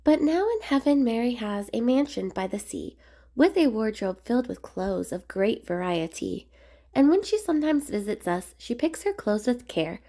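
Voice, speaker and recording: A woman reading a rhyming children's picture book aloud in a measured, expressive sing-song way. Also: on the high side. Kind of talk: storybook read-aloud narration of rhyming verse.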